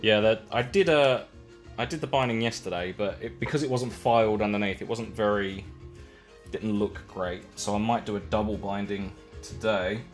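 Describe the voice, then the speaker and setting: A man's voice: lowish voice, moderate pace. A man chatting casually on a live stream while painting and building a case, rambling and self-deprecating as he works. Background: faint music.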